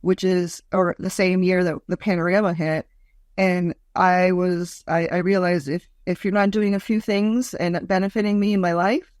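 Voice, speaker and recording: A woman in her forties with a deep voice, a comedian guest telling stories in a lively, mocking way. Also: normal speed, dry recording, clean studio sound. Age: 40s. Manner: lively, storytelling, mocking asides. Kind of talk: casual interview chat.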